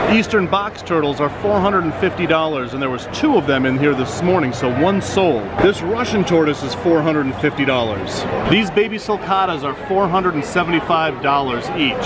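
A man in his forties hosting a reptile vlog, excitedly presenting to camera in a busy expo hall. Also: a little high. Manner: excited, exclamatory, talks straight to camera. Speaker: reptile channel host. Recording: loud crowd noise of expo hall.

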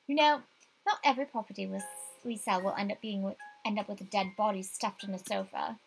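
A woman voicing an impatient, teasing young female realtor from a visual novel game, animated and pushy. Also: medium pitch, fast, vocal fry, a little hiss or hum, lightly acted, poor audio. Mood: dismissive.

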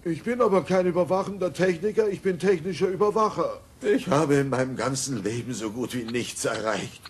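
An actor voicing a bumbling, self-pitying middle-aged cartoon father, gravelly, whiny and melodramatic. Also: on the high side, fairly quick, a little hiss or hum, lightly acted. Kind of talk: dubbed cartoon dialogue.

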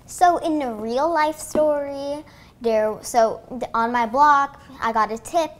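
A woman's voice: high-pitched, slow pace. Eager girl author from Pennsylvania. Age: young. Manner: eager storytelling, "um" starts. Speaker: guest author. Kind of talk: interview answers. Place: home kitchen, indoors.

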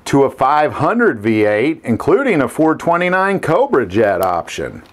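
Middle-aged man narrating, medium pitch. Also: very animated, measured pace. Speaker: video host. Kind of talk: scripted narration to camera.

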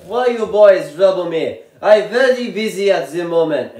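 A man voicing a boastful, menacing Russian man who speaks deadpan broken English with a heavy Russian accent. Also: high voice, normal speed, roomy sound.

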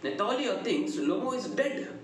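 A middle-aged man with a South Indian accent giving an explanatory lecture in a deliberate, emphatic, sing-song delivery. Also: high-pitched, big pitch swings. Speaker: English lecturer. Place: small indoor room. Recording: very echoey room, faint noise.

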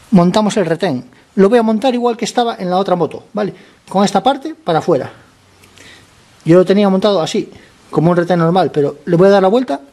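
A man with a slightly hoarse voice from a cold, talking casually while he works on a motorcycle in a garage, explaining each step and often checking in with his viewers. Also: high voice, a little mellow. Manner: chatty, explains step by step, keeps asking ¿vale?. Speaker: motorcycle mechanic vlogger.

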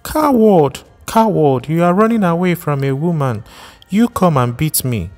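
A shrill, tearful pregnant wife, wailing and scolding her husband in a storybook reading, as a male narrator puts her on. Her voice climbs high and loud in anguished outbursts. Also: animated, measured pace, faint music behind, lightly acted. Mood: defiant. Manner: wailing, scolding, rising to cries.

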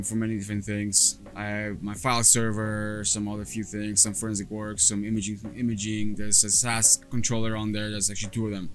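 A man in his thirties whose first language is Polish, talking casually through a step-by-step computer tutorial from his workshop on a lapel mic, with frequent fillers and restarts. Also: fairly low pitch. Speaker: data recovery technician. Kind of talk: casual screen-recorded tutorial. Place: cluttered workshop or lab, indoors. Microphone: clip-on lapel mic.

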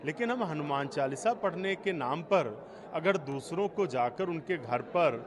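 A man's voice: fairly high pitch, lively intonation, measured pace, crowd noise. A young man, a party spokesman, making an earnest, argumentative point to a reporter. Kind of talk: interview statement to a reporter. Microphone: handheld reporter's microphone.

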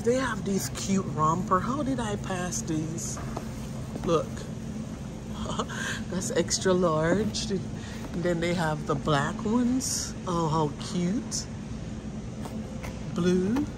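A woman vlogging while she shops in a grocery store, reading product labels aloud in short casual bits. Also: fairly low pitch. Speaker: shopping vlogger. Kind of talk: casual shop-with-me narration. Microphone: phone held while filming. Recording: loud store background noise.

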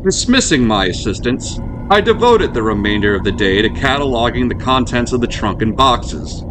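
A man reading a horror story aloud as host and narrator, in a measured, formal way. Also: medium pitch, sing-song. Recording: clearly noisy background.

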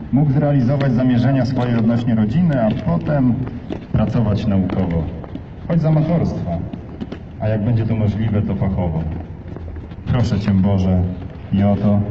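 A man solemnly reading diary entries aloud at an outdoor memorial march, in a slow, measured, grave manner. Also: medium pitch. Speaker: reader of diary excerpts. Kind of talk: solemn reading over loudspeakers. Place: outdoor street march in a city. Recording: distant PA in open air, echoey, dull, noisy.

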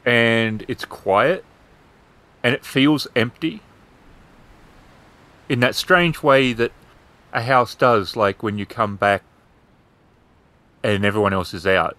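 A man acting as game master, describing scenes in a measured, suspenseful way with repeated phrasing. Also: lowish voice, pushed and bright. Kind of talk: descriptive tabletop game narration.

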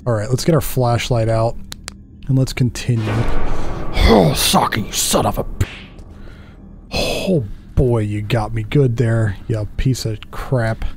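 A man's voice: medium pitch, creaky, a little mellow. A man in his thirties giving casual let's play commentary, thinking aloud in a jittery, self-deprecating way. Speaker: gaming video host.

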